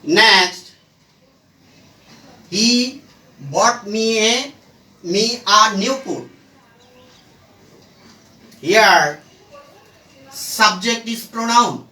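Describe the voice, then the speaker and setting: Very slow grammar teacher in an echoey room. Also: mid-range voice, pushed and bright. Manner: halting, short phrases, asks and answers own questions. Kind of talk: step-by-step grammar lesson. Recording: echoey room, far from the mic.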